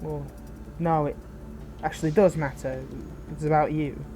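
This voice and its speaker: A betrayed fallen hero played by a woman speaks in a lowish, very mellow voice, caring yet bitter toward a former sidekick. The delivery is intimate and earnest, broken by hesitant, dramatic pauses. It is an audio roleplay recording with clear noise behind the voice. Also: steady tone. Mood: worried, frustrated. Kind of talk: scripted audio roleplay addressed to the listener.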